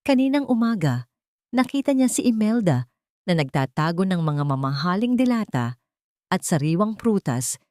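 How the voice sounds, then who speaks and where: A woman's voice: medium pitch, very animated, normal speed, darkish tone, no echo. Woman narrating a drama, close and dry. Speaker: audiobook narrator. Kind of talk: dramatic scripted story narration.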